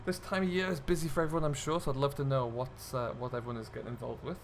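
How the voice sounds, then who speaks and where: A man's voice: medium pitch, fairly even intonation, racing. Chatty man over noisy vehicle sounds. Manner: chatty, easygoing running commentary addressed to viewers. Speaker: host, gaming video creator. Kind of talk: casual let's-play commentary of a farming simulator.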